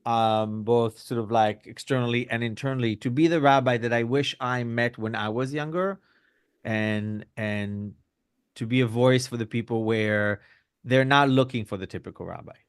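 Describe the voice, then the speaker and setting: A middle-aged rabbi from Belgium and Israel answering interview questions conversationally, hedging with lots of fillers and tag questions. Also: male voice, medium pitch, moderate pace, clean tone, dry recording, studio quality. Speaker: rabbi, podcast guest.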